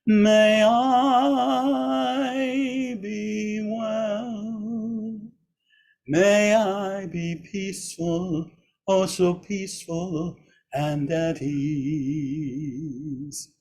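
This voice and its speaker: A man with a raspy, hoarse voice leading a Buddhist service online, slowly intoning chants and gentle instructions. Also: high voice, level tone, soft background music. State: hoarse after surgery. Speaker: Buddhist teacher leading practice. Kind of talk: leading a chanted metta practice.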